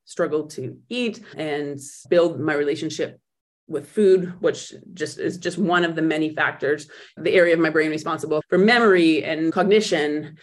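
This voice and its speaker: A woman guest on an interview podcast, recounting her own story in a reflective way, often pausing and restarting as she searches for words. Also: deep voice. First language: not German; speaks in English.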